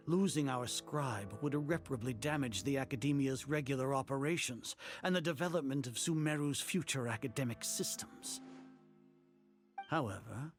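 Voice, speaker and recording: A man voicing a haughty, scheming older grand sage in a video game, speaking in a pompous, measured, formal way. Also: mid-range voice, lightly acted. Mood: condescending. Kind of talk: video game villain acting.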